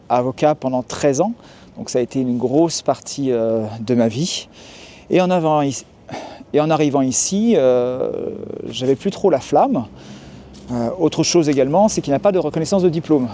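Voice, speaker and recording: Homme français calme, voix chaude. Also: male voice, on the high side. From France. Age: 40s. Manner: calm, explanatory, frequent hesitations. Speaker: YouTuber and tour guide. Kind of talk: casual to-camera vlog. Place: city street outdoors.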